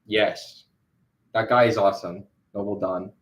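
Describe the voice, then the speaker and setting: A man's voice: on the low side, steady tone, measured pace, a bit bright. A young man chatting casually on a livestream while he animates. He rambles, with lots of fillers and restarts.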